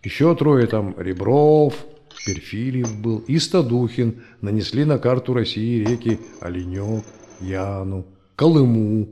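Man acting elderly grumbling granddad, audio play, mellow low voice, folksy storytelling, faint noise. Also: a little slow. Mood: curious, skeptical. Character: old grumbling grandfather, fond storyteller of old history. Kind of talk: audio drama dialogue.

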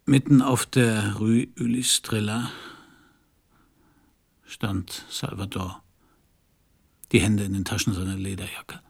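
An actor playing a world-weary private detective in a German radio crime drama, terse and gravelly, sometimes hushed. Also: male voice, lowish voice, little pitch movement, slow pace, long pauses, heavily acted. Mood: melancholy, brooding. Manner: terse, laconic. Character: world-weary male private detective, hard-boiled narrator. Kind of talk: German radio crime drama, first-person noir narration and dialogue.

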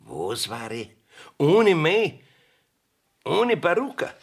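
An actor playing a blustering, evasive village judge in a Bavarian dialect radio comedy, grumbling and scolding with a husky, gravelly voice. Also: male voice, on the high side, wildly swooping pitch, slow pace, full character voice. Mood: defensive bluster. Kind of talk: dialect radio play acting.

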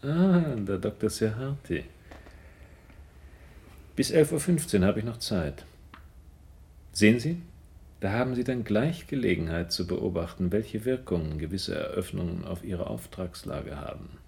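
An actor playing a smooth, menacing blackmailer in a radio crime drama, speaking in a formal, pompous and courteously threatening way with a gravelly voice. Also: male voice, fairly low pitch, animated, measured pace, long pauses, dark tone. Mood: smug. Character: smooth, menacing middle-aged male blackmailer in business dealings.

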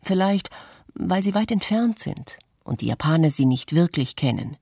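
Low husky woman actress reading, reflective nature lover in a radio play, measured, dry sound. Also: very animated, warm tone, dry recording, full character voice. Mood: reflective, content. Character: contemplative Japanese woman who moved from city to countryside. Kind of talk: scripted radio-play monologue, read testimony.

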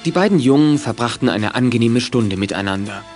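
A man's voice: mid-range voice, expressive, a little fast, over music, natural voice. A man narrating a children's detective audio drama as a composed, scripted storyteller. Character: composed male storyteller of a youth detective tale.

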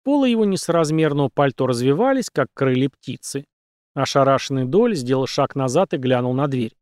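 A man reading an audiobook, narrating the story in an even, steady storytelling manner. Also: mid-range voice, a little fast, no echo, studio quality. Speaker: audiobook reader.

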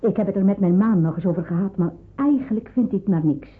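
An actress playing a brisk, reluctant wartime housewife in a radio drama, firm and matter-of-fact. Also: female voice, mid-range voice, background noise, natural voice. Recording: muffled, phone-like old radio drama recording.

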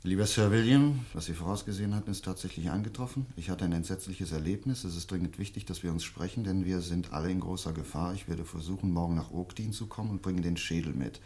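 A thoughtful doctor turned investigator in a radio mystery. His voice sits low and he speaks deliberately, with polite curiosity. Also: mellow. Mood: grave, reading. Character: inquisitive, courteous male doctor investigating a mysterious disappearance. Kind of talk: German detective radio play acting.

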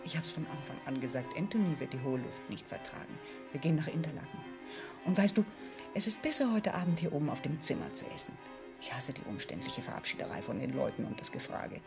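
A woman's voice: low voice, sing-song, fast, gravelly, music in the background, heavily acted. An actress playing a wealthy middle-aged aunt in a German radio play, fussy and insistent, anxious about her standing and evasive. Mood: brisk, placating.

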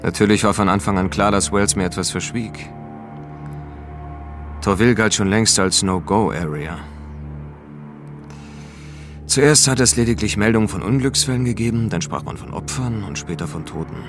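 An actor playing a world-weary, sardonic male expedition veteran in a radio drama, speaking and narrating in a dry, deliberate manner. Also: fairly low pitch, over music, natural voice. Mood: wary, sardonic. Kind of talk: radio drama dialogue and first-person noir narration.

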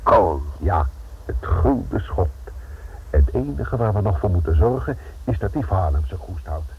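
Criminal gang member, deep, lively intonation, slangy Netherlands Dutch, self-satisfied, radio drama, thin noisy old recording. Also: male voice, unhurried, narrow, tinny audio, music in the background.

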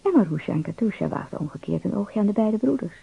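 An actor narrating an adventure tale for a radio play in a husky, creaky voice. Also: male voice, high-pitched, animated, fast talker, exaggerated voice. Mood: measured, suspenseful. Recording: thin phone-like band-limited sound.

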